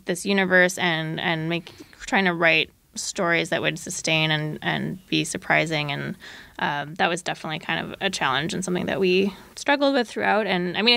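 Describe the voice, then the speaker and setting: Low-voiced woman with a creaky edge. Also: normal speed, bright, forceful tone, no echo. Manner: hedging, tentative, frequent "like" and "you know". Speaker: podcast producer and guest. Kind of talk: casual conversational interview.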